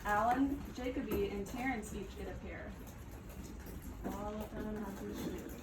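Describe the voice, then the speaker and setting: A woman teacher reading a picture book aloud to children, pausing to prompt and affirm her listeners. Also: medium pitch. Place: echoey room with children. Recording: roomy, distant mic, background noise.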